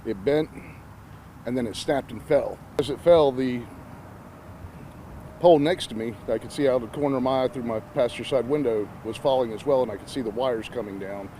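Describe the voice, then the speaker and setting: A man recounts his story in an outdoor news interview, with earnest, grateful conviction. His voice is mellow and warm, with faint traffic noise behind him. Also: medium pitch, brisk.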